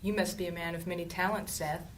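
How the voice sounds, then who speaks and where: A woman's voice: on the low side, steady tone, fast, distant mic, natural voice, rough recording. An actress playing a flirtatious female thief in an audio drama, speaking in a sultry, teasing way. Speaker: actor.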